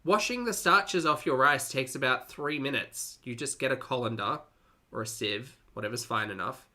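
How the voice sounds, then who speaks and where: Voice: medium pitch, normal speed, sharp tone. A person giving casual reaction commentary as a video host, with an exasperated, sarcastic way of critiquing what they watch.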